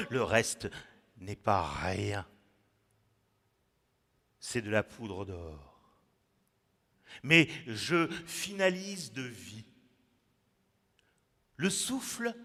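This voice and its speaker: A man reciting his own poem theatrically, with dramatic pauses and sudden swings between hushed and loud delivery. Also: on the low side. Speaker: poet performing his own text. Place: large echoing museum gallery, indoors. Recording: reverberant hall echo.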